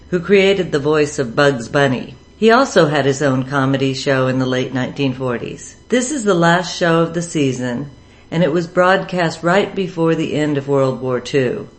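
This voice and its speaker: A woman host reading a scripted introduction for a radio program. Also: low voice.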